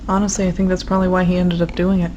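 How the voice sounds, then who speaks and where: A woman's voice: on the low side, level tone, very fast, darkish tone, close to an everyday voice. A woman playing a resigned, struggling artist in an audio drama, matter-of-fact, on a dull lo-fi recording with some noise. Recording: dull lo-fi sound with background noise.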